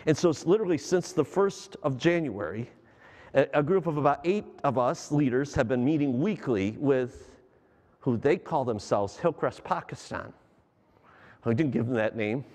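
Animated middle-aged man preaching in a hall. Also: on the high side, normal speed. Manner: animated, gesturing preacher who asks the congregation questions. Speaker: pastor. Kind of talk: sermon. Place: large church stage. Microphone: headset mic.

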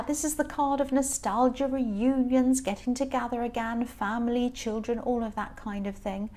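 Woman, a touch high-pitched, croaky, chatty tarot reader, rambling and casual. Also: a little mellow. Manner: chatty, rambling, many fillers. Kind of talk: casual spoken tarot reading.

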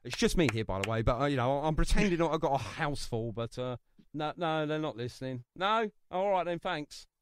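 Chatty radio host, animated. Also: male voice, a little high, brisk, clean tone, dry recording, studio quality. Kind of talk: casual radio interview. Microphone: phone line to guest.

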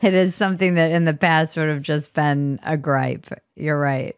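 A woman's voice: sits low, moderate pace, clean tone, no room sound, clean studio sound. A woman speaking as a podcast host, in interview chat and host-read sponsor spots.

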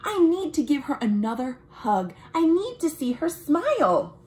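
A woman reads a picture book aloud for young children. Her voice sits fairly high and swings widely in pitch. She takes her time, phrasing each line expressively and clearly for little listeners. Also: big pitch swings, measured pace, darkish tone. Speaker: children's librarian and storytime host. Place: small room at home, indoors.

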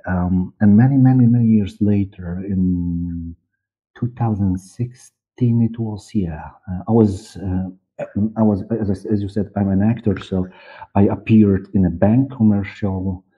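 A middle-aged man from Poland with a Polish accent, answering interview questions in halting English, repeating words as he searches. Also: low voice, steady tone, slightly rough. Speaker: interview guest, audio director and actor. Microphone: headset or desk mic on video call. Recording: muffled, band-limited video call audio.

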